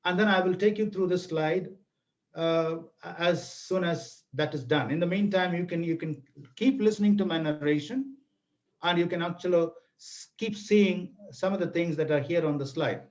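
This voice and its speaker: A middle-aged man with an Indian accent and Tamil as his first language, presenting and narrating in a deliberate, theatrical storytelling style with emphatic repetition. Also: a little high. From Tamil Nadu, India. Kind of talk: theatrical read-aloud storytelling in an online lesson. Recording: dull, lo-fi online webinar audio.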